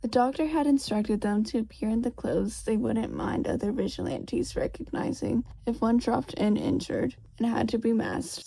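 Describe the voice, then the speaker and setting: Woman reading a story out loud, raspy n creaky, kinda high voice. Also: rough recording. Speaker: fan fiction audiobook reader. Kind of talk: scripted story narration.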